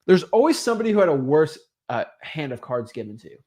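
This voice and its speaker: A young man hosts a webinar with a fairly high, lively voice. He talks emphatically and keeps acting out other people's excuses in mocking voices. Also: quick pace. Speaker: real estate webinar host. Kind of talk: motivational webinar talk.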